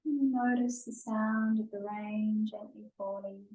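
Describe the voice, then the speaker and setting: A woman yoga instructor leading a guided stretching and breathing class in a gentle, soothing, unhurried manner, recorded live in a studio. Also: mid-range voice, steady tone. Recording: echoey room, distant mic.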